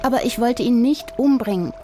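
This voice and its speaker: An earnest schoolgirl in a children's story, acted by a woman narrator. She is worried and sulky, with a raised, girlish pitch and a stammering, murmuring manner. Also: normal speed, noisy background, close to an everyday voice. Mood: upset, pleading. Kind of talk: children's audiobook character acting.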